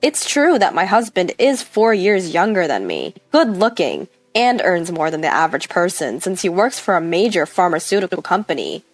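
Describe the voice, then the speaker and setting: A woman narrates a first-person story in a scripted read. Her mid-pitched voice moves up and down in an animated way. The sound is very dry, with faint music underneath. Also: normal speed, hardly any pauses, dry recording.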